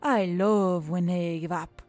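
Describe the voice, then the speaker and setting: A woman's voice: fairly low pitch, steady tone, takes it slowly, darkish tone, no echo, faint music behind. A woman voicing a witchy female Gorgon helicopter pilot with a smug, teasing manner in an audiobook story.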